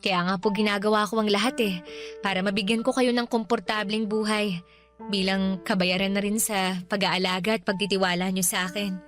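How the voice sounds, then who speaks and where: A woman's voice: mid-range voice, fairly even intonation, fairly quick, fairly bright tone, lightly acted. A Filipino-accented woman playing a playful, devoted grown daughter in a radio drama, respectful to her elder. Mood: earnest, devoted. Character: cheerful, devoted adult daughter who owns a bakery. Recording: music under the dialogue.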